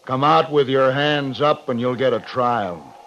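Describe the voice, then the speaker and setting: A man's voice: medium pitch, measured pace, fairly bright tone. A muffled, faintly noisy 1950s radio western presents an American cavalry captain. He speaks in a medium register, firm and controlled, with terse military phrasing and sombre weight. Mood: stern, commanding.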